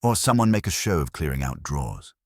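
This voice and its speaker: A man's voice: a bass voice, sing-song, fairly quick, husky, dry recording, clean studio sound, heavily acted. A man voicing a deferential, practical police assistant in a mystery story, clipped and tentative in manner. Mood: speculating.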